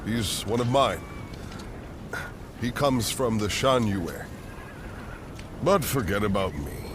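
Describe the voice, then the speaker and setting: Deep-voiced steadfast veteran general. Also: male voice, expressive, slow, noisy background. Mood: matter-of-fact. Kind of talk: video game cutscene acting.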